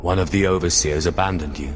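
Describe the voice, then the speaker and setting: Man acting a low-voiced haughty Sith acolyte. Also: fairly even intonation, fast talker, natural voice. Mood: cold, disdainful. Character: haughty, cold young male Sith acolyte, player hero. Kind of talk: video game dialogue acting. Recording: noisy game capture.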